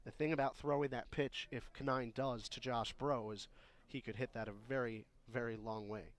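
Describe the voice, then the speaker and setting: A man's voice: mid-range voice, fairly even intonation, moderate pace. A man giving conversational, analytical baseball commentary for a radio broadcast, explaining the game as a color commentator.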